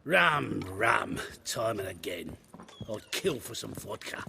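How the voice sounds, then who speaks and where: An actor voicing a weary, grumbling, gravelly townsman in video game background chatter. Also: lowish voice, sing-song, slow, heavily acted. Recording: noisy street with animals, clicks.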